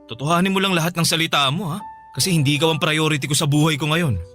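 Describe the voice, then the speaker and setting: An actor playing a stern, curt, exasperated young ex-boyfriend in a radio drama. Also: male voice, fairly high pitch, steady tone, fast, a bit bright, faint music behind, close to an everyday voice. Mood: doubtful, stern. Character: stern, fed-up, career-minded young ex-boyfriend.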